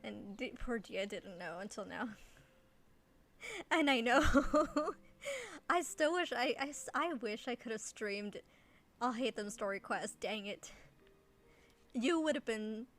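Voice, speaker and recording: A woman chatting casually while streaming a game, giggly and halting as she restarts her thoughts. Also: on the high side, lively intonation, a bit bright. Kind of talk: casual chat while playing a video game. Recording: faint background noise.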